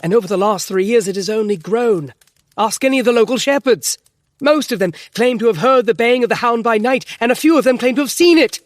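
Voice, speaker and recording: An actor playing an earnest, excitable gentleman doctor in an audio drama, formal and emphatic as he tells his tale. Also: very high voice, a little fast, dry recording, clean studio sound. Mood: urgent, earnest. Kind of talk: full-cast audio drama acting.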